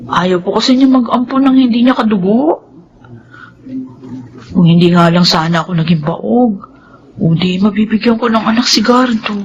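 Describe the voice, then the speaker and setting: A woman's voice: medium pitch, normal speed, long pauses, natural voice. A woman acts as a meek and sorrowful Filipino wife in a radio drama. She speaks respectfully, on a dull recording with faint noise. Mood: apologetic, sorrowful.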